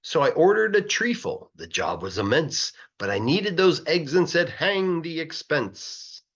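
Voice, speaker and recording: A man reads a children's story aloud in an expressive, sing-song rhythm, stretching words for emphasis like a teacher reading to a class. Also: a little high, unhurried, highs cut off. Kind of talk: animated rhyming storybook narration.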